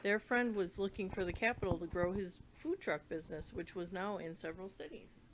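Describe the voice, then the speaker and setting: A woman's voice: mid-range voice, lively intonation, a little fast, slight background noise, rough recording. A woman reading a children's book aloud, now and then stumbling over words and rereading them. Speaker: read-along reader.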